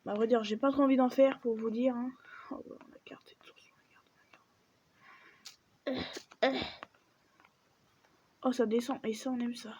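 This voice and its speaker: A woman's voice: on the high side, normal speed, long pauses. A woman giving casual let's-play commentary, chatting and muttering to herself as she plays, often dropping to a near-whisper. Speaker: gaming video creator. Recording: dull lo-fi mic with steady background noise.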